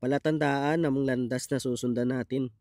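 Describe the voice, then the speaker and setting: A man's voice: mid-range voice, steady tone, normal speed, a little mellow, dry recording, clean studio sound, close to an everyday voice. A man playing a knowing, matter-of-fact forest guide in dramatized story dialogue. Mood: assured. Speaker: voice actor in a dubbed short story.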